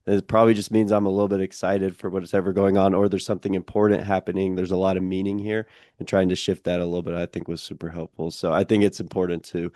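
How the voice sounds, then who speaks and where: Low, flat-voiced young man hosting. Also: fast talker, dry recording, studio quality. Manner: calls his own voice monotone, many fillers. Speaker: podcast host. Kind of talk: casual interview chat.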